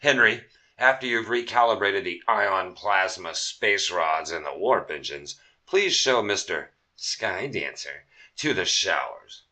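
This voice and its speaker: A man playing a gruff, bossy, easily irritated spaceship captain in a comic sci-fi radio serial. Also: low voice, expressive, a little slow, sharp tone, full character voice. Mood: irritated, commanding.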